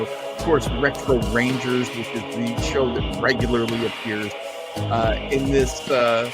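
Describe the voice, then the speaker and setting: An older man hosting an old time radio show, chatting casually with live-stream listeners in a rambling, enthusiastic way. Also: mid-range voice.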